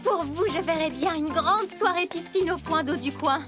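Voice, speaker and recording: Excitable high-pitched cartoon party planner. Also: female voice, moderate pace. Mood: exuberant. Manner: emphatic, exuberant, theatrical. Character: excitable, bossy female party organizer. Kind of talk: French children's cartoon dubbing. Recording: music behind the voice.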